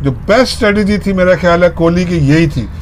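A man's voice: high voice, brisk. A man with a Pakistani accent giving opinions in a casual cricket chat, dropping in English phrases. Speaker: cricket pundit. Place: outdoors at a table in a park. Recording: faint outdoor background noise.

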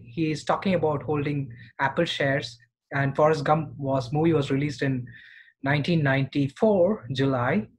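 A man's voice: fairly high pitch, husky, poor audio. A middle-aged man giving informal investment commentary as a finance vlogger.

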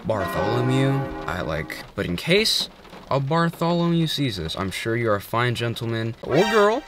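A man's voice: medium pitch, big pitch swings, music in the background. Playful young man talking in a car. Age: young adult. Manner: playful, joking, quick asides. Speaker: vlogger. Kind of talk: casual question-answer chat. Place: inside a parked car at night. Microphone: phone held at arm's length.

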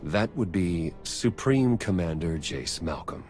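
A dry, cocky spy adviser in a video game. The voice sits low but swings with animated, informal wit, over clearly audible music. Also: male voice, slow. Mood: wry. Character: wry, cocky young male spy adviser. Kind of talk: cinematic video game acting.

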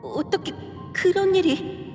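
A forthright teenage elf girl in a fantasy game. She speaks very high, direct and urgent, in a reverberant space over clear music. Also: unhurried, a little mellow. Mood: anxious. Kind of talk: video game cutscene acting. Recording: echoey hall reverb, music underneath.

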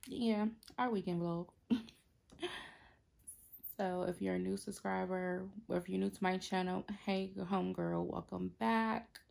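A young woman vlogger with a raspy, hoarse voice, chatting casually to her viewers from her sofa at home into a close phone camera. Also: mid-range voice, a little mellow. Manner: chatty, laid-back, addresses viewers as girl. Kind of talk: casual vlog talk to camera. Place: home, seated on a sofa indoors. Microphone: phone camera close to face.

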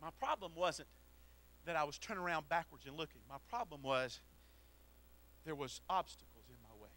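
A middle-aged man preaching a sermon into a handheld mic in a church, swinging from shouted exhortation to quiet storytelling. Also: fairly high pitch, expressive, moderate pace. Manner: preaches while pacing the platform. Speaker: pastor. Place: church sanctuary.

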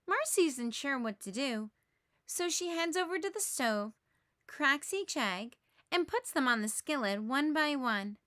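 A woman narrating a children's storybook aloud in a measured, expressive way. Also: high-pitched, dry recording, studio quality.

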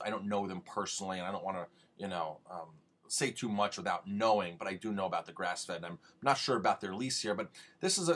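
A man's voice: medium pitch, bright, forceful tone, breathy edge. A man giving informal, instructional advice as a restaurant owner, conversational and emphatic, often posing rhetorical questions. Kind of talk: informal instructional video talk.

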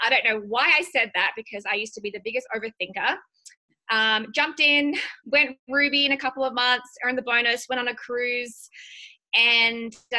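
A woman's voice: fairly high pitch, bright, forceful tone. An Australian-accented woman in her thirties giving a casual motivational talk as a guest on a video call. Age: 30s. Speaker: guest speaker, direct-sales distributor. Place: small home office, indoors. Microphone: laptop on a video call.

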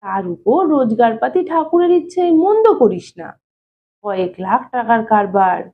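A woman's voice: fairly high pitch, animated, moderate pace. A woman reading an audio story as a nosy, concerned older brother-in-law, coaxing and questioning in a familiar tone. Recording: thin phone-like narrow-band sound.

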